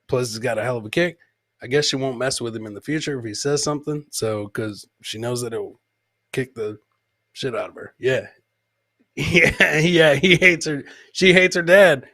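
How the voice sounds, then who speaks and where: A man's voice: medium pitch, fairly bright tone, dry recording. A man hosting a comedy podcast, commenting casually on funny clips and repeating his phrases for comic effect.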